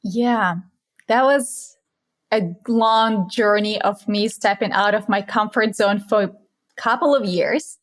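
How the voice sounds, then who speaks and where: Russian-accented young woman, podcast guest, earnest and reflective, a little non-native phrasing. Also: medium pitch. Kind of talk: casual interview chat.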